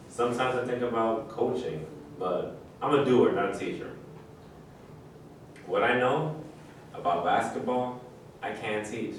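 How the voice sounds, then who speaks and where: A man acting a laconic, guarded retired basketball player in an understated staged-reading monologue. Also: lowish voice. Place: live staged reading in a roomy space. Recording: very echoey room, distant mic, faint noise.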